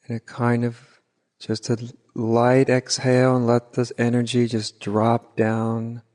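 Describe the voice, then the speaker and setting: A man leading an unscripted guided meditation as a teacher, gently and unhurriedly, with long pauses. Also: mid-range voice, monotone. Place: large reverberant room at a retreat. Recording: hall reverb, live retreat recording.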